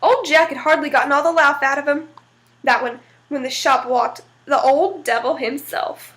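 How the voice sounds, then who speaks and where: A woman's voice: sits high, steady tone, normal speed, a bit bright. A woman narrating a folktale aloud in a folksy, dramatic storytelling manner.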